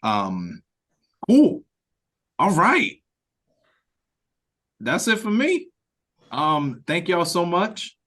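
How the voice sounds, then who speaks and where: A man leading an online meeting in casual chat, speaking informally and haltingly as he thinks aloud. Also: high voice, huge pitch swings, fairly bright tone. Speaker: writer-director leading the meeting. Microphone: video call.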